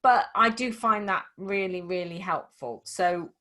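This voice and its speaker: Slight Scouse woman, middle-aged, chatty tutor demoing software, loose and restarting. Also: fairly low pitch, unhurried. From Liverpool. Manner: chatty, demonstrative, many restarts. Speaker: dyslexia tutor. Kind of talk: casual screen-share tutoring session.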